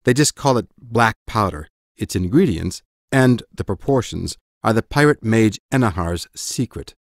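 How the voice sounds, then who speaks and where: A man playing a methodical mage teacher in an audiobook drama, instructing with measured authority. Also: fairly low pitch, lively intonation, no room sound, clean studio sound. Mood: instructive.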